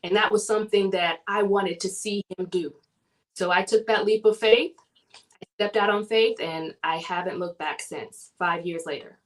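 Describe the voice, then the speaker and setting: A woman from the southern United States chats as an interview guest. Her pitch sits in an ordinary middle range. She tells her story with animation and laughs often. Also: normal speed, low-quality recording. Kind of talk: casual interview chat.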